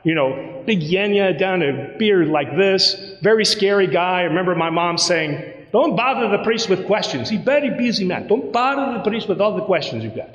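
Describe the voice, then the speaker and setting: A man preaching a sermon as a priest, telling a personal story in a lively, conversational way and acting out the dialogue. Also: sits high, moderate pace, muffled recording. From United States. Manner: animated, conversational, acts out dialogue. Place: church sanctuary. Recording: roomy church with long reverb.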